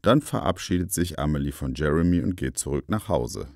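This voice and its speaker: A man's voice: extremely low pitch, animated, fairly quick, darkish tone, dry recording, studio quality. A man reading scripted educational narration, explaining phrases and telling example stories for language learners.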